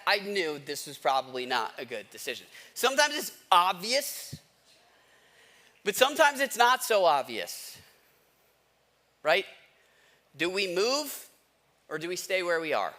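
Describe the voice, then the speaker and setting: A man's voice: sits high, bright, forceful tone. A man giving a conversational sermon as a pastor, asking rhetorical questions and repeating key phrases for emphasis.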